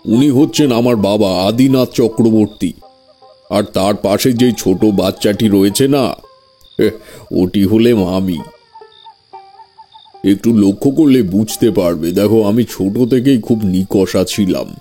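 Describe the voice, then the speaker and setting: A man playing a genial, grave older village tantric in an audio horror story, speaking slowly and formally. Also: lowish voice, mellow, soft background music. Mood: reminiscing, mysterious. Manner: slow, deliberate, formal phrasing.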